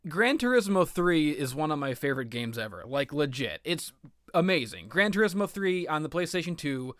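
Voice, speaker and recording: A man's voice: fairly high pitch, dry recording, clean studio sound. A man giving rambling comedic video game commentary, with a self-deprecating, sarcastic and melodramatic manner.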